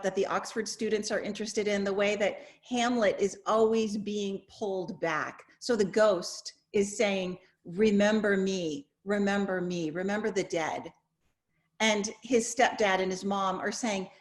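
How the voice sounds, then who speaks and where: A woman, a professor, moderating an informal discussion over a video call. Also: medium pitch. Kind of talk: informal online class discussion.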